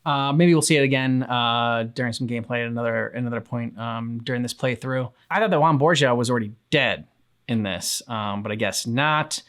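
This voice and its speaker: Male reaction co-host, medium pitch, casual, halting delivery that trails off. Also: fairly bright tone. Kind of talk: casual chat reacting to game cutscenes.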